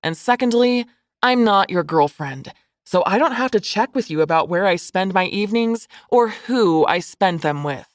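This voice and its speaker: A woman's voice: medium pitch, expressive, moderate pace, dry recording, close to an everyday voice. A woman voicing a blunt, sassy young woman student in an audiobook, sardonic and forthright. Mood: scornful.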